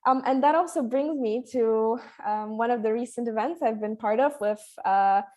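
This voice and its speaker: A woman's voice: on the high side, normal speed, dark tone. A seventeen-year-old young woman from Poland, speaking informally as a guest presenting her own work. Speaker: youth fellow, guest speaker.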